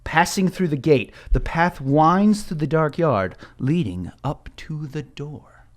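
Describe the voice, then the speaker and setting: High voiced guy narrating a dnd game, super animated, jokey and casual. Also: takes it slowly. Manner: jokey, conversational. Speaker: game master.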